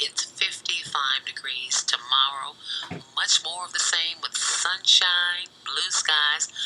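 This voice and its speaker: A woman's voice: low-pitched, sharp tone, low-quality recording. An American woman hosting a gospel music radio show as an announcer, with upbeat, cheerful DJ patter. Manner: cheerful, greeting listeners and reading dedications. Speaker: radio announcer and guest host. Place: radio studio. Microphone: broadcast studio microphone. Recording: radio aircheck with music underneath.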